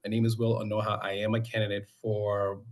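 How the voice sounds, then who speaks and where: A middle-aged American man from Boston, speaking informally and haltingly as a council candidate introducing himself to a community meeting. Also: fairly low pitch, completely flat delivery. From Boston, United States.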